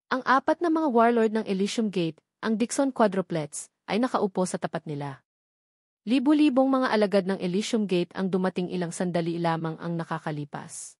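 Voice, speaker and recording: A woman's voice: medium pitch, expressive, moderate pace, no echo, clean studio sound. A woman reading a novel aloud as scripted audiobook narration.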